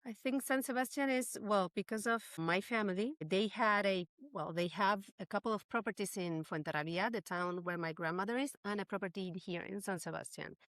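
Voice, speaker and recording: A woman's voice: medium pitch, fairly quick, hardly any pauses, a bit bright, no room sound. A woman from Chile with a Chilean Spanish accent, answering as a podcast guest in casual, conversational English.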